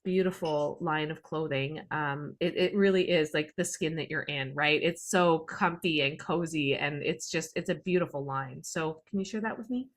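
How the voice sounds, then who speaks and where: A woman's voice: deep voice, moderate pace, few pauses. A woman with a Canadian accent hosting a conversational podcast interview, speaking in an effusive, earnest way. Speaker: podcast host.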